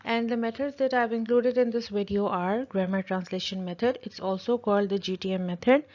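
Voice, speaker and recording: A woman's voice: mid-range voice, steady tone, brisk, darkish tone. A woman who speaks Urdu natively gives an explanatory tutorial as a teacher, repeating her points with simple examples. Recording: dull, lo-fi audio.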